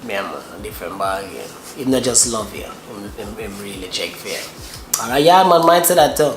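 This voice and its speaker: A man's voice: fairly low pitch, expressive, brisk, reverberant, a crowd in the background. A man from Jamaica with a Jamaican accent, explaining his language on a casual couple vlog and repeating himself while searching for words. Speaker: co-host explaining Jamaican phrases.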